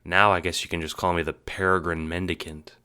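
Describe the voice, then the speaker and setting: A man's voice: low-pitched, little pitch movement, brisk, bright, forceful tone, smooth. A man puts on a female shelled exile for an audio drama. She is weary and sceptical, speaking in a dry, unimpressed way. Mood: resigned. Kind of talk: audio drama character acting.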